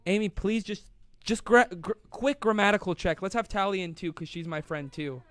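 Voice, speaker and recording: A man's voice: sits high, moderate pace, clear, resonant tone, no room sound. A young man hosting a casual live online show, rambling and playful, laughing often as he reads out viewer comments. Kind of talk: casual live-stream chat.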